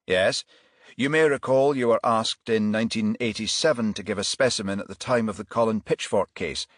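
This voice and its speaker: A man voicing a stern, methodical police detective in an audiobook, formal and measured as he presses his questions. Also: on the low side, no room sound, studio quality, close to an everyday voice. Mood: formal, pressing.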